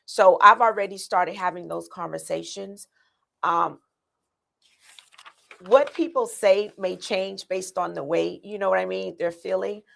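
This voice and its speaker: A woman's voice: on the low side, vocal fry, bright, forceful tone. A woman running for county commissioner, earnestly laying out her plans with many ums and restarts. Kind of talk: answering interview questions.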